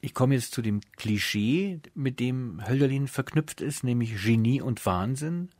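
A man presenting scripted radio introductions and interview questions in a measured, formal way. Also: medium pitch, lively intonation, darkish tone, no room sound.

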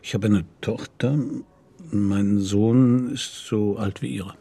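An actor playing a composed, considerate middle-aged police commissioner in a radio play, husky and gravelly, asking questions in a measured, polite way. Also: male voice, on the low side, fairly even intonation, mellow, exaggerated voice. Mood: gentle, careful.

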